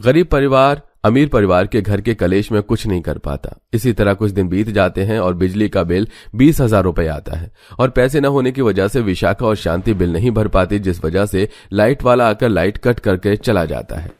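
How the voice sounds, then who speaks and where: Low-pitched male storybook narrator, close and dry. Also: moderate pace, hardly any pauses, darkish tone, dry recording.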